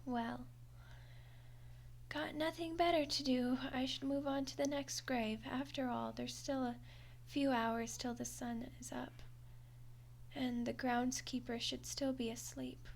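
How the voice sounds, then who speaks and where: A woman's voice: sits high, fairly even intonation, measured pace, vocal fry, slight background noise. A woman voicing a friendly, awkward undead skeleton girl in an audio roleplay, chatty and hesitant with a wry, defensive streak. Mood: grumbling, resigned. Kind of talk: audio roleplay monologue to the listener.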